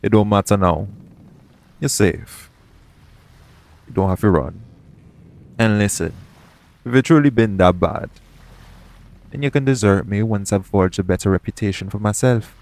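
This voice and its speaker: Casting: male actor as a roguish yet protective pirate captain, low-pitched, colloquial sea-dog speech, gently reassuring, for intimate audio roleplay. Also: measured pace, long pauses. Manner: colloquial seafarer's dialect, speaking tenderly to one listener.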